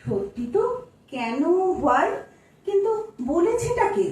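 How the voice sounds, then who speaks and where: A woman acting a fussing, sharp-tongued mother of a married daughter in an audio drama, exasperated and nosy. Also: sits high, very animated, slow, heavily acted. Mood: puzzled, teasing. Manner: exasperated, inquisitive, sardonic. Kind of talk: Bengali audio-play acting on stage. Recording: echoey room, distant mic.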